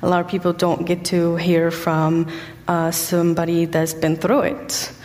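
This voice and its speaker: Young woman, low flat voice, slow hesitant delivery, many ums, hall reverb. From Egypt. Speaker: guest speaker sharing her story. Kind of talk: personal testimony talk.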